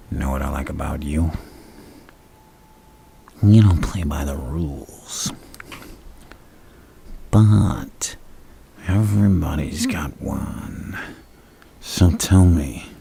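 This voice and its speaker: A man playing a flirtatious, menacing, unhinged villain in a gravelly half-whisper for an ASMR roleplay, slow and teasing with murmured hums. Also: a bass voice, warm tone, slight background noise, exaggerated voice. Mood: intimate, sly.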